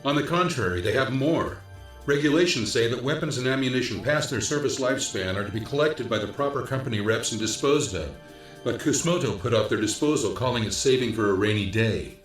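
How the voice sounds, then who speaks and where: A man playing a diligent special-ops investigator, explaining findings in a measured briefing tone in a video game. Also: mid-range voice, animated, echoey room, soft background music, natural voice, rough recording. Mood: matter-of-fact. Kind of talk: English dub acting in a video game cutscene.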